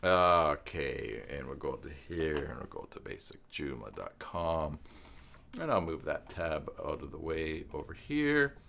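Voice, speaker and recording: A man's voice: very deep voice, animated, a little slow, vocal fry, pushed and bright, slight background noise. A man hosting a casual live tutorial, thinking aloud and rambling as he demonstrates and chats with viewers. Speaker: live stream host and web tutorial instructor. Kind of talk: casual live screen-share tutorial with chat.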